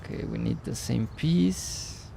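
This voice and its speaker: A man's voice: on the high side, lively intonation, unhurried, vocal fry, dark tone, faint music behind. A man streaming a city-building game live, speaking English as a second language, thinking aloud with puzzled exclamations and greeting chat viewers. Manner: non-native English speaker. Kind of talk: live gameplay commentary.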